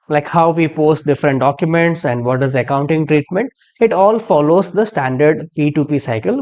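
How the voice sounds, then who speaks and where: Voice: medium pitch, darkish tone, highs cut off. An instructor narrating a tutorial in an explanatory, step-by-step manner.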